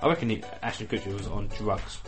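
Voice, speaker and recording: A man's voice: fairly low pitch, fast. An English man co-hosting a podcast with loose, mumbled delivery. He leans on slang and swears freely. Faint music sits behind him. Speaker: podcast co-host. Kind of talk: casual banter.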